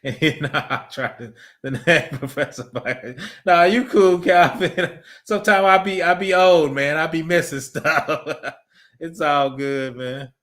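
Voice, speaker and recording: A man's voice: a little high, measured pace, a bit bright. A man, chatting casually on a livestream, reading viewer messages, laughing often and rambling through asides. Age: 50s. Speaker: livestream host reading super chats.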